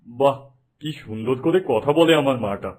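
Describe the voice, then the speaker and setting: A man voicing a dignified, doting king and father in an animated fairy tale, benevolent and stately. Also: medium pitch, moderate pace, natural voice. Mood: grateful, fond.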